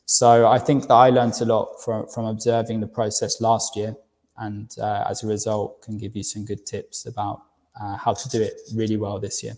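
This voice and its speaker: A man's voice: on the low side, flat delivery, moderate pace, darkish tone. London man presenting in a reverberant room. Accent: London English. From United Kingdom. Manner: friendly, repeats words as he restarts. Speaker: university recruitment director presenting a webinar. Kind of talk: webinar presentation.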